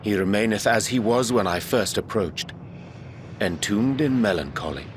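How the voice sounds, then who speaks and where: A man's voice: fairly low pitch, expressive, normal speed, a little hiss or hum, lightly acted. A man playing a grave, formal adventurer with archaic speech in a video game cutscene. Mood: sombre.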